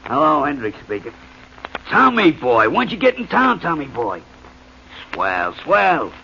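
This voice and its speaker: A man's voice: a little high, huge pitch swings, pushed and bright, heavily acted. A man playing a brash, joking music publisher in 1940s radio acting. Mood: breezy. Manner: brash, fast-joking, gruff. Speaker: supporting actor. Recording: dull, slightly hissy old radio broadcast.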